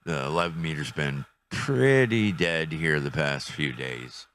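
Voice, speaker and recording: An older man talks through a casual hands-on review in a low-pitched voice with a bright edge. He takes his time, speaking slowly and tentatively as he works things out aloud. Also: expressive, slight background noise. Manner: unhurried, tentative, thinking aloud. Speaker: radio reviewer.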